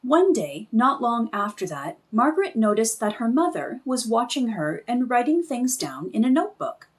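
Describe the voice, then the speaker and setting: A woman reading a children's book aloud in an expressive storytelling style. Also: on the high side, normal speed.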